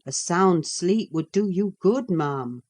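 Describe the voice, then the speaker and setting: A woman reading an audiobook, voicing a steady, practical housekeeper who speaks firmly and soothingly. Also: fairly low pitch, slow. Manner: firm, soothing.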